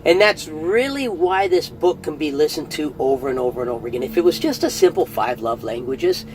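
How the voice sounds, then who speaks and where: Man, middle-aged, fairly high voice, earnest, chatty, casual review, faint background noise. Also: expressive, brisk, low-quality recording. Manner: earnest and chatty, restarts phrases. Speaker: audiobook reviewer. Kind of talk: casual spoken review to camera.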